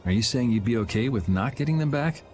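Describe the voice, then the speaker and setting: A man's voice: medium pitch, expressive, fast talker, a little mellow, natural voice. Guy voicing laid back young game hero, cool n wry, music under it. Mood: calm, teasing. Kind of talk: English game cutscene voice acting. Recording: music behind the voice.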